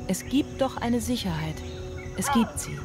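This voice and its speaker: A woman's voice: on the high side, animated, a little slow. An actress playing a strained, dreamily euphoric single mother in a radio drama, speaking in poetic, incantatory repetitions. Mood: solemn, reassuring. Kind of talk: German radio drama monologue. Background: music.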